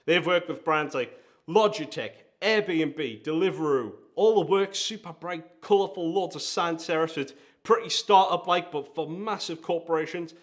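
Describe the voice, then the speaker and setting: Man, Geordie accent, slightly high voice, enthusiastic, emphatic, online video host. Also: moderate pace. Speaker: video host, design agency founder. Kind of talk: presenter-style vlog countdown.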